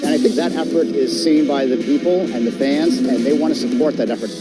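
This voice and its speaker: A man's voice: mid-range voice, brisk, poor audio. A man giving a promotional testimonial for a baseball club. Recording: loud music bed behind speech.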